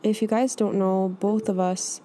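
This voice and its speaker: A young woman from Scotland co-hosting a casual podcast, chatty with lots of fillers and quick asides. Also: mid-range voice, flat delivery. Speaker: podcast co-host. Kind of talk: casual chat. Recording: faint laptop fan noise.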